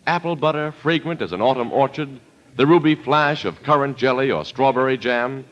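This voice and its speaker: A man's voice: medium pitch, normal speed. An American man announcing in 1940s radio style, reading scripted sponsor copy with a polished, florid delivery. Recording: muffled old radio broadcast, music behind.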